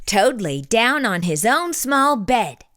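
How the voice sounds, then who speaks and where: A woman reads a bedtime storybook aloud for young children. Her voice is bright and swoops widely, and she takes her time with each phrase in a deliberate, animated way. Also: fairly high pitch, dry recording, clean studio sound. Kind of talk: scripted storybook narration, read aloud.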